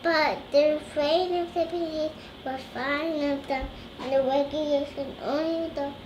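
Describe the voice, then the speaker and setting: A young girl with a high voice reads a storybook aloud. She goes slowly and hesitantly, often stumbling and repeating phrases. The recording is roomy and echoing, with clear noise behind her. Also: steady tone. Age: child. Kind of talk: halting read-aloud from a storybook.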